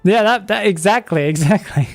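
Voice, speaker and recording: A man's voice: high-pitched, creaky. A man in his twenties chatting casually on a live game stream, reading chat and laughing often. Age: 20s.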